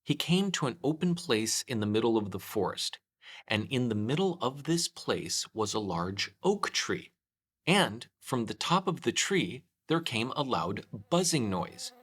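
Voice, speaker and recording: A man reading a children's story aloud in an expressive scripted narration. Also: medium pitch, measured pace, slightly rough. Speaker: storyteller, host.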